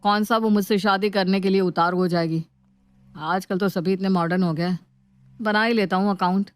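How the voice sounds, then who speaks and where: A woman's voice: mid-range voice, steady tone, moderate pace, clear, resonant tone, dry recording, lightly acted. A woman voicing an irritable, lovestruck young male office worker in casual, slangy Hinglish for a story. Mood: curious, smitten. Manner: casual Hinglish slang, grumbling or musing to himself.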